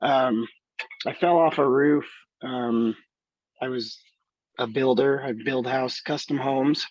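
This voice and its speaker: A man in his thirties with a raspy voice talks through his story at a very slow, halting pace, full of pauses and fillers. The sound is dry and dull, close to the mic with no room around it. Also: medium pitch, a little mellow, dry recording. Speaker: student. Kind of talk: interview, telling his life story.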